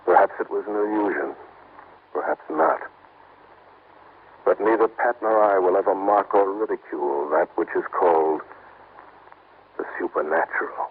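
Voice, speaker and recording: An actor playing a composed investigator in an old radio drama, with measured, dramatic delivery. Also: male voice, deep voice, slightly rough. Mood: grave. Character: composed male investigator narrating his own supernatural tale. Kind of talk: old-time radio drama acting and narration. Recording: tinny, noisy vintage radio recording.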